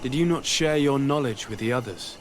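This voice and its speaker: An actor playing a calm, courteous, inquisitive male adventurer in a fantasy video game, polite and measured. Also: medium pitch, slight background noise, natural voice. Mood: gently questioning. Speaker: player protagonist. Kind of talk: fantasy game dialogue acting.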